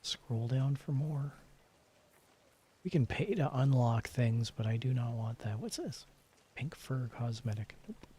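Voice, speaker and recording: A man's voice: mid-range voice, expressive, measured pace, mellow, slight background noise. A man streaming a game, switching between playful chatter and theatrical story read-aloud.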